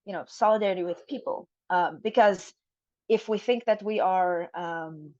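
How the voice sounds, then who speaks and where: Low-voiced woman on a dull lo-fi call. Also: normal speed, warm tone, no room sound. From Jerusalem. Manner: thoughtful, with frequent fillers and restarts. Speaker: panel speaker. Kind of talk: forum talk over video call.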